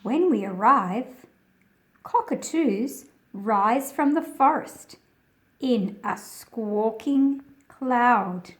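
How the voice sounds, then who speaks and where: A woman hosting a children's read-aloud, speaking in simple, deliberate phrases as if straight to young listeners. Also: fairly high pitch, lively intonation. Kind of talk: slow picture-book read-aloud with friendly asides to young viewers.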